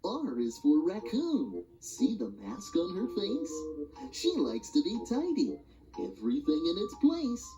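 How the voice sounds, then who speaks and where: Slow, sing-song woman reading rhymes. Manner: sing-song, highly expressive rhyming delivery. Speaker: storybook reader. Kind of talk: children's read-along narration. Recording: dull lo-fi audio with music behind.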